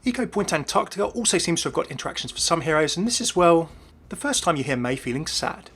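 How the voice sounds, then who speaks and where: A man's voice: fairly high pitch, sing-song, fast, a bit bright, breathy edge, faint music behind. A man presenting scripted commentary on video game lore as a voice-over. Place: voice-over with faint music behind.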